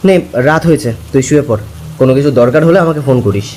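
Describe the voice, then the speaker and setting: Cartoon role: talkative young friend male actor, quick delivery, mid pitch, familiar and caring. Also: lively intonation, darkish tone, a little hiss or hum, natural voice. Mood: explaining, concerned. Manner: chatty, familiar.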